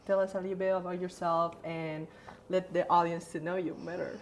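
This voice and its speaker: Woman, podcast co-host, lower voice, giggly, encouraging, casual, faint background noise. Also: little pitch movement, brisk. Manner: giggly, encouraging, loose phrasing. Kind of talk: casual interview chat.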